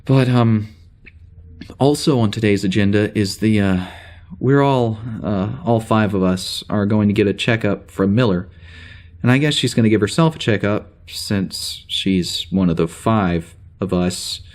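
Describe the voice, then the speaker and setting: A man playing a flustered security officer on a spaceship, recording an informal audio log in a halting, rambling way for an audio drama. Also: fairly low pitch, a little mellow, lightly acted. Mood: casual, uncertain. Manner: halting, rambling, full of hesitations and sighs.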